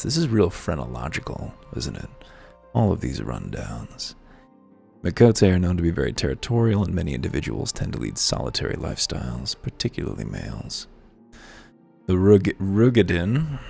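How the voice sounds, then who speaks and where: A man's voice: low-pitched, gravelly, darkish tone. A man hosting a let's-play, reading game lore aloud and breaking off for dry, wry asides.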